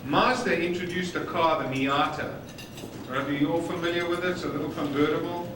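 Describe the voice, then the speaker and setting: A man's voice: on the high side, fairly even intonation, fairly quick, background noise. A man with a South African accent giving a conference presentation, stressing key words emphatically, recorded from afar in a large hall. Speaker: conference speaker. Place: conference hall with dining noise. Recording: echoey hall from far off on old videotape.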